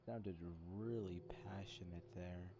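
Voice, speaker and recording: A woman's voice: rumbling low, steady tone, slow pace, slight background noise. A woman streamer casually reading game dialogue and narration aloud as she plays. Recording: loud game music behind voice. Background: game music.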